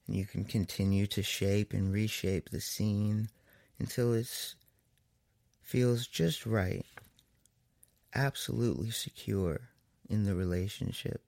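A man leading a guided meditation as a therapist, in a soothing manner with long pauses between phrases. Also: lowish voice, steady tone, creaky, darkish tone, no echo.

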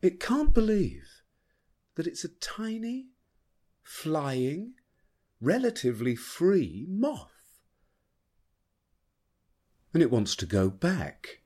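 A man giving a dramatic, measured reading of a poem in a husky voice. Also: a little high, sing-song, a little mellow. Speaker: poetry reader.

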